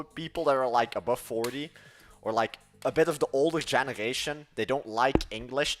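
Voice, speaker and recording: A man's voice: medium pitch, fairly bright tone. A young man with a Dutch accent chatting casually on a livestream, rambling and correcting himself as he goes. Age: young adult. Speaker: livestream host.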